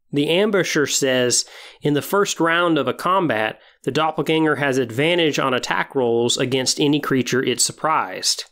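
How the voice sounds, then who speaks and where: A man's voice: a little high, a bit bright. A man hosting a tabletop gaming podcast, explaining things in an informal, conversational way. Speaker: podcast host. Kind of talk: explanatory hobby podcast talk about tabletop role-playing games.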